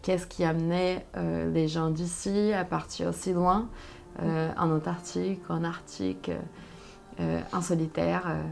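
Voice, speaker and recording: A young woman from Brazil, a native Portuguese speaker with a Brazilian accent, telling her story conversationally and haltingly as she searches for words. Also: fairly low pitch, flat delivery, creaky, darkish tone, soft background music. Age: young adult. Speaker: interview guest, solo sailor.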